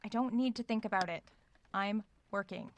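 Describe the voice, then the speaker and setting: An actress playing a brittle, defensive young woman novelist in a horror audio drama, with clipped, strained delivery and a creaky, gravelly voice. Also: mid-range voice, moderate pace, slight background noise, natural voice. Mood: irritated, defensive. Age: young adult. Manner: clipped, strained replies to her mother.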